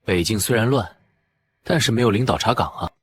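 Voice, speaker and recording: A world-weary young prince speaks in a fairly low voice. He is sardonic and wry, delivering unhurried asides as in an animated fantasy drama. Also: husky. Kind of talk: Chinese animated fantasy drama acting with inner monologue.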